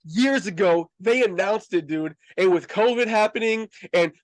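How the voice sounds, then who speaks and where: A man with a notably high voice hosts a casual review show. He talks with slangy, emphatic enthusiasm, like a fan chatting with a friend. The sound is dry and close, with no room echo. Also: moderate pace.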